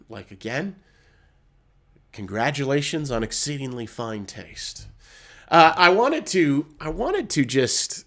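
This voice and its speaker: A man hosting a postgame hockey stream, talking loosely with many fillers and restarts, a little hoarse from being sick. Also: mid-range voice, a bit bright. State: hoarse from illness. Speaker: hockey podcast host. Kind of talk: casual postgame analysis.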